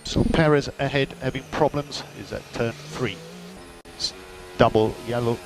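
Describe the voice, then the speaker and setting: A man's voice: mid-range voice, moderate pace, gravelly, over music. A man working as a race engineer, giving terse, matter-of-fact technical updates in clipped phrases over team radio. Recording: noisy radio link with mechanical hum.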